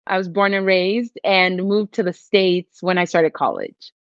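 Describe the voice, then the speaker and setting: A woman's voice: lowish voice, steady tone, a little slow, clear, resonant tone, dry recording, highs cut off. A woman from Nigeria, a physician, speaking earnestly and reflectively as a podcast guest. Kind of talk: podcast interview.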